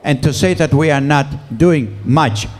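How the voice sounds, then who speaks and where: A man's voice: a little high, normal speed, darkish tone, in a large hall. A male political candidate answering a question at a public debate, emphatic and defensive. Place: public meeting hall.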